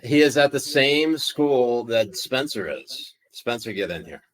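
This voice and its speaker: A man's voice: mid-range voice, expressive, unhurried. A man co-hosting a casual news podcast, rambling, profane and joking. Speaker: podcast co-host.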